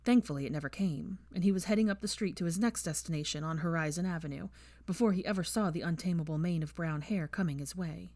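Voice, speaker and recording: A woman with a fairly low voice reads a story aloud at an even, measured pace. The recording is dry and close, with no room echo. Her delivery stays steady, like a reader guiding listeners through each scene. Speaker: audiobook reader. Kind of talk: fan fiction audiobook narration.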